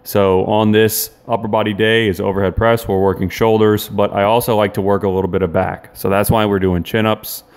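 A young man in his twenties, talking casually and quickly to the camera held at arm's length, vlogging about his workout. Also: on the low side, flat delivery, clear, resonant tone. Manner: quick, chatty, loose with fillers. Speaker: fitness vlogger. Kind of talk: casual vlog narration. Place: in a car, then gym voice-over, then kitchen.

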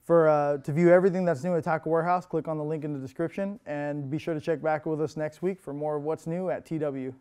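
A young man in a tackle shop walks through new products in a loose, friendly rundown. His voice sits a little high and comes through smooth and clear, dotted with ums and uhs. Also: fast talker, few pauses. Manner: relaxed, lots of fillers, upbeat pitches. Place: indoor tackle shop with lure wall.

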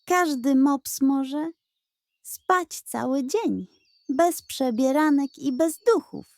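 A woman's voice: high-pitched, slow pace, a little mellow, dry recording, pitched above the performer's own voice. Woman putting on a grumpy greedy little pug. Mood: scoffing. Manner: scoffing, boastful, food-obsessed. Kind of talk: children's audio story acting.

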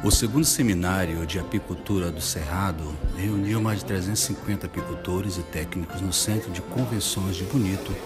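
A Brazilian man narrates a scripted report in a low voice. Guitar music plays clearly behind him. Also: fairly quick, hardly any pauses.

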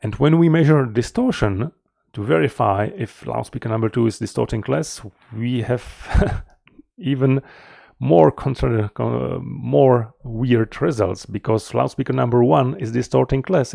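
A middle-aged man giving an explanatory technical presentation as a researcher, in a measured, slightly halting manner, close to the mic. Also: mid-range voice, vocal fry, dark tone, dry recording. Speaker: researcher presenting a conference paper.

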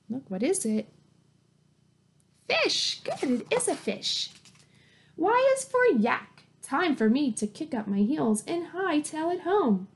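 A woman in her thirties reading a picture book aloud to young children as a teacher, in an encouraging, sing-song way. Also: sits high. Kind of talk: children's picture-book read-aloud with simple questions and praise. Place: small plain indoor room at home. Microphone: webcam or laptop mic at arm's length.

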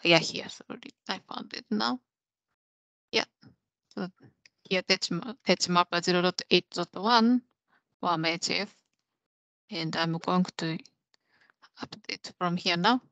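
A woman's voice: on the low side, slow, pauses often, fairly bright tone, no room sound, low-quality recording. A young adult woman giving a technical demo on a video call, explaining things step by step. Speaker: presenter of a software demo. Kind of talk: technical presentation with live demo. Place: small indoor room, on a video call.

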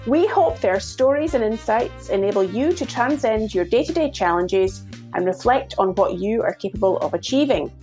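A woman's voice: fairly high pitch, expressive, normal speed, dark tone, faint music behind. A woman hosting a podcast, reading a polished introduction and asking interview questions. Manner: polished, welcoming presenter delivery. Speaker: podcast host.

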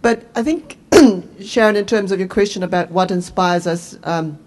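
A middle-aged woman from Fiji with a New Zealand accent, speaking as a panelist in a relaxed, self-deprecating way into a handheld microphone in a conference room. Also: medium pitch, normal speed. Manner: self-deprecating, laughs easily. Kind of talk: conversational panel talk.

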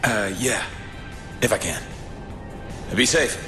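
A man's voice: on the low side, slow. An actor playing a wisecracking, exasperated male zombie-apocalypse survivor in a video game, wry and sarcastic. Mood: friendly. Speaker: player character in a zombie action game. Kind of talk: cinematic game voice acting. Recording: loud game music underneath.

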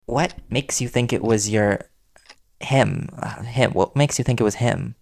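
A man playing a worried reporter boyfriend in an intimate audio roleplay, earnest and halting as he gently confronts his partner. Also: medium pitch, creaky. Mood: anxious, curious.

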